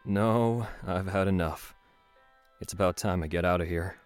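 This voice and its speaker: A man's voice: low-pitched, moderate pace, faint music behind, natural voice. An actor playing a weary, remorseful father in an audio drama, speaking in a hesitant, subdued way. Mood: troubled, resigned. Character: weary, remorseful troubled father, pleading and apologetic.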